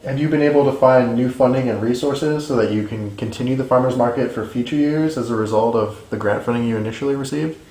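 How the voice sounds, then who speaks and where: A man's voice: medium pitch, quick pace, hardly any pauses, darkish tone, reverberant, rough recording. A man politely reading interview questions.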